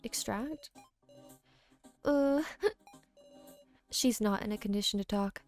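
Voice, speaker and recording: A woman's voice: medium pitch, slow pace. A woman casually playing through a game and reading its dialogue aloud, with game music behind her. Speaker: game streamer.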